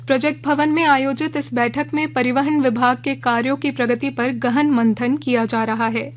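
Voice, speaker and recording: A woman reading a regional radio news bulletin in a measured, formal way from a studio. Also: high voice, steady tone. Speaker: news reader.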